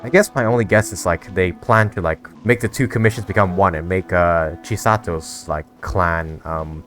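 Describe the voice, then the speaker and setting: A man's voice: deep voice, moderate pace, fairly bright tone. A man giving casual playthrough commentary on a game, hesitantly thinking aloud as he puzzles out the story. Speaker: gamer reacting to a game story. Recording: faint game music behind the voice.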